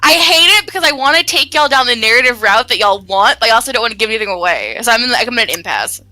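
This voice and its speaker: A woman's voice: fairly high pitch, very animated, quick pace, bright, forceful tone. A woman chatting casually on a game stream as a developer, rambling and self-deprecating, with casual swearing. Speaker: indie visual novel developer. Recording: music playing behind the voice.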